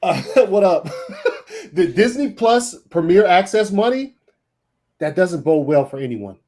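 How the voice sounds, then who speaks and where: A man's voice: sits high, big pitch swings, slow, mellow. A man chatting casually on a streamed panel, emphatic, slangy and freely swearing.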